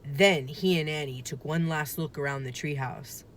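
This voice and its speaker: A woman reading a children's story aloud in a deliberate, expressive narrating style. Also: low-pitched, a little hiss or hum. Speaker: storybook reader.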